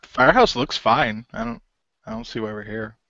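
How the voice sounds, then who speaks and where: A man's voice: fairly low pitch, expressive, normal speed, pushed and bright, no room sound, dull audio. A man improvising as a role-play player in a casual, understated manner. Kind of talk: improvised in-character dialogue, casual.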